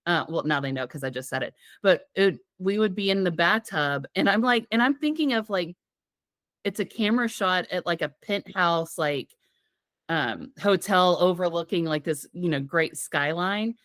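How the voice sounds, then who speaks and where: Podcast co-host: woman, lowish slightly raspy voice, giggly and rambling, dry close sound. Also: normal speed, a bit bright, no room sound. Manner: giggly, rambling, playful storytelling. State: getting over a sinus infection. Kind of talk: casual comedic podcast chat.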